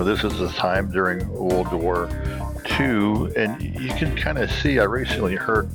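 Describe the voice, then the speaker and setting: Casual male podcast host, deep register, meandering and hesitant delivery, music behind. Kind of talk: casual rambling sign-off talk.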